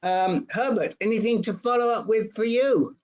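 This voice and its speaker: A man's voice: high voice, moderate pace, dull audio. A man moderating a support group in a casual, chatty, joking way over an online call.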